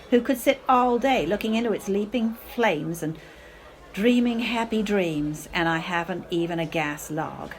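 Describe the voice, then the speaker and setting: Woman acting a theatrical, self-pitying mother, swooping pitch. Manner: theatrical, grandiose, martyred, with dramatic hesitations. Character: bitter, self-pitying, drunken middle-aged divorced mother. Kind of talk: amateur radio play acting.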